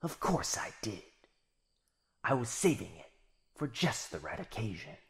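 A sinister living shadow in a yandere ASMR roleplay. The voice is very breathy and husky, often sinking toward a whisper. It drawls slowly with a mocking, possessive tenderness and predatory menace. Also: male voice, on the low side, very animated, exaggerated voice. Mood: smug, menacing.

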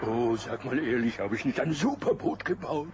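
A man's voice: medium pitch, expressive, normal speed, close to an everyday voice. Man as an eager, childlike cartoon bear. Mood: cheerful. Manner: enthusiastic, pleading, playfully pompous. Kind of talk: German children's audio drama acting. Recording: slightly noisy old cassette.

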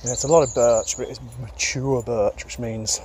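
A man's voice: mid-range voice, moderate pace. A man vlogging casually as he walks through woods, filming on a handheld phone and thinking aloud. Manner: rambling, relaxed, thinking aloud. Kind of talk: casual walking commentary. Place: woodland outdoors. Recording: outdoor phone audio with loud noise. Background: traffic noise.

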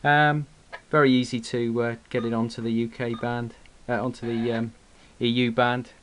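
A man giving an unscripted hobbyist product demonstration in a halting way, with frequent pauses, recorded indoors on a camera mic. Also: medium pitch, little pitch movement, fairly bright tone. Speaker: radio hobbyist presenting gear. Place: indoor room at a radio desk. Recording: clear background noise, clicks.

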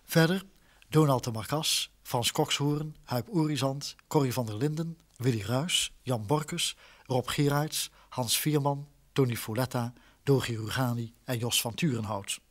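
A man's voice: mid-range voice, unhurried. A man announcing on a vintage radio broadcast, formally reading out closing credits.

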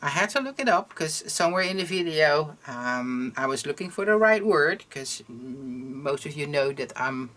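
A Dutch woman with a Dutch accent hosting a crochet tutorial in English, her second language, talking casually and pausing often with ums as she searches for words. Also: low voice, animated, fairly bright tone. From Netherlands. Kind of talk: casual instructional talk. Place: indoors at a table, hands over crafts.

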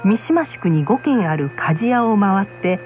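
A woman's voice: mid-range voice, big pitch swings, measured pace, music in the background. A woman narrator reading a scripted folktale in storytelling style. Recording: muffled lo-fi radio sound.

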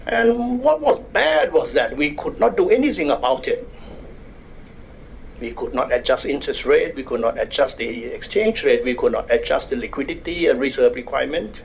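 An older man with a Thai accent, speaking at a desk microphone as a guest speaker at a university public forum, wry and measured with self-deprecating humor. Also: medium pitch, lively intonation, creaky, slight background noise, poor audio. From Thailand. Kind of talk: public lecture. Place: university conference room.

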